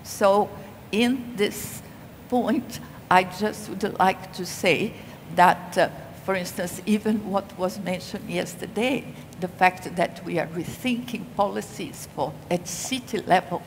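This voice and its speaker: An older woman speaks at an unhurried pace on a conference stage, leaning on her main points. Her headset mic catches the long reverb of a large hall, with a faint hum of ambience underneath. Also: mid-range voice. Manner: measured, deliberate, emphatic on key points. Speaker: panel speaker. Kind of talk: conference panel talk.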